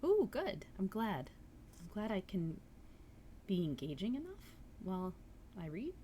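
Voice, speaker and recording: A woman livestreamer reading a novel aloud and chatting casually, with expressive storybook narration. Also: mid-range voice, a little slow, slight background noise.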